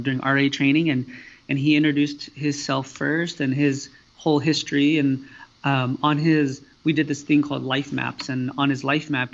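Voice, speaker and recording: Us guy telling his story as a guest, kinda flat and halting, muffled lo-fi sound. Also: medium pitch. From United States. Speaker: guest, student affairs professional. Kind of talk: casual interview answers. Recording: dull lo-fi audio with faint noise.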